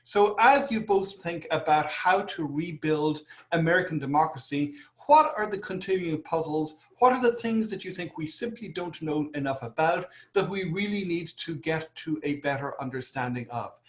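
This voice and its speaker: A man's voice: a little high, animated, moderate pace, hardly any pauses, roomy sound. A man with an Irish accent introducing a panel as moderator, with frequent fillers and restarts. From Ireland. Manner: hesitant, many fillers and restarts. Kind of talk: panel introduction over video call.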